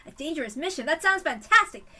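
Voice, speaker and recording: A woman voicing an idealistic, hot-blooded young male policeman in an emphatic, excitable way for a story reading. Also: high-pitched, fast, voice pushed up, exaggerated voice. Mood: fired up.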